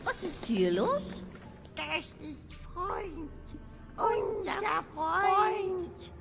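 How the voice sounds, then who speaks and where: A woman's voice: very high voice, big pitch swings, extremely slow, creaky, music in the background, exaggerated voice. A woman voicing a small, dim-witted, quarrelsome gully dwarf who speaks in broken, repetitive childlike phrases. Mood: squabbling, excited. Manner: broken childlike grammar, repeating words.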